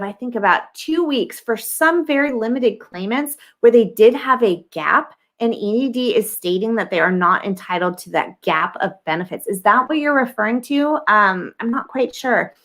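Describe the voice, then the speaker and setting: A woman's voice: fairly high pitch, a bit bright. An American woman in her thirties hosting a live Q&A stream, her throat scratchy, chatty and thinking aloud with lots of ums. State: scratchy throat, clearing it often. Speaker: livestream host answering viewer questions. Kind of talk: casual live Q&A.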